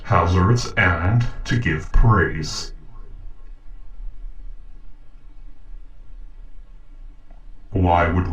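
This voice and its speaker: Low-voiced, courteous robot played by a man. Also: echoey room, distant mic, close to an everyday voice, low-quality recording. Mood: firm, skeptical. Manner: formal, measured, precise diction. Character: calm, logical, courteous sentient robot, loyal to its maker. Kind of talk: dramatic audio roleplay acting.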